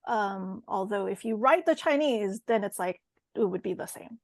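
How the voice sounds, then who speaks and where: Woman from Taiwan, American-Mandarin accent, halting casual speaker with some gravel, close dry mic. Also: medium pitch, lively intonation, no echo. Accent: American English with a Mandarin tinge. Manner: hesitant, many ums and self-corrections. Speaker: course participant. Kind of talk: casual group chat on a video call.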